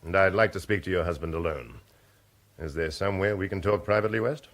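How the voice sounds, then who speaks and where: A man's voice: sits low, quick pace, natural voice. An actor playing a stern male police superintendent, curt and formal.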